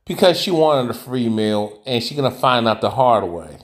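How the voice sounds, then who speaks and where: A man hosts a live reaction stream and talks over a clip in a blunt, scolding rant. He is mid-pitched and keeps stumbling into repeated words as he goes. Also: very animated, normal speed. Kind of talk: ranting commentary over a played clip.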